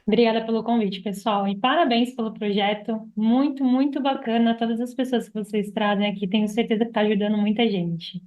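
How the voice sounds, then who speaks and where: A woman's voice: a little high, fairly even intonation, brisk, few pauses. A Brazilian woman speaking as a podcast guest in a conversational, motivational style, with thin, narrow sound.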